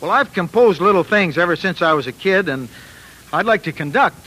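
A man's voice: sits high, animated, fairly quick, pushed and bright, heavily acted, rough recording. A man playing an eager, polite, naive young musician, earnest and bashful, in 1940s radio drama style. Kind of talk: 1940s radio acting and narration. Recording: old 1940s radio broadcast.

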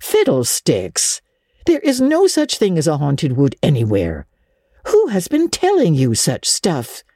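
A woman's voice: low-pitched, huge pitch swings, unhurried, dark tone, breathy edge, no room sound, pitched below the performer's own voice, full character voice. A woman voicing a stern, practical older woman with a dry, sarcastic, no-nonsense manner in a dramatic storybook reading. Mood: scornful. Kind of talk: dramatic audiobook reading of classic children's literature.

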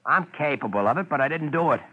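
A man's voice: a little high, lively intonation, fast talker, pushed and bright, highs cut off. A man playing an embittered, hot-tempered songwriter, tense and blustering, in a 1950s radio drama. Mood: defiant. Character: hot-tempered, embittered starving male songwriter, defiant crackpot. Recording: old 1950s radio recording.

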